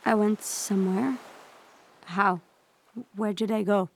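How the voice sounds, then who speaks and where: A woman's voice: mid-range voice, fairly even intonation, slow pace, creaky, a little hiss or hum. An actress playing a shaken, fed-up, sardonic young woman in an audio play. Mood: shaken, confused. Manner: exasperated, sarcastic.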